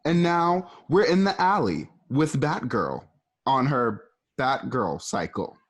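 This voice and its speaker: A man narrating stage directions for a radio play in a lively, playful way. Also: medium pitch, slow pace. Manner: lively, playful, asks and answers his own questions.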